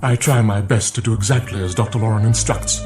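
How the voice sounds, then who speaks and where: A man's voice: lowish voice, fairly even intonation, brisk, music in the background, natural voice. A man playing a formal, deferential butler. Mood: deferential, evasive. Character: polite, dutiful, watchful butler, formal and evasive. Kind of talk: radio drama acting.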